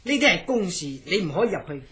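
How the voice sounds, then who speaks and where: A woman plays a police officer on guard in a radio play. The voice is lowish for a woman and quick, official and curt, with a Hong Kong Cantonese accent. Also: very animated, pushed and bright. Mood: firm. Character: firm, polite police officer guarding a hospital gate. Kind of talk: Cantonese horror radio drama acting.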